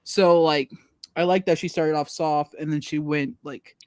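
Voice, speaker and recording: A man's voice: a little high, steady tone, a little slow, a little mellow, clear, resonant tone, no echo, studio quality. A man on a podcast panel sharing his take in a chatty, casual way. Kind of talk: casual chat.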